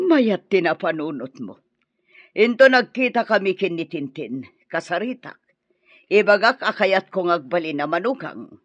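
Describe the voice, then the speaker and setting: A woman's voice: fairly low pitch, lively intonation, unhurried, no room sound, muffled recording. An actress with an Ilocano accent playing a scornful, scheming middle-aged mother in a radio drama. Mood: scheming, coaxing.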